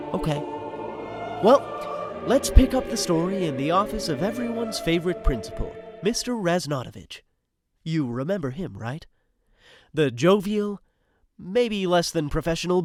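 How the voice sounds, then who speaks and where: A man playing a chatty insider who narrates a high school comedy, confiding directly in the listener. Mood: confiding, playful. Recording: music behind the voice.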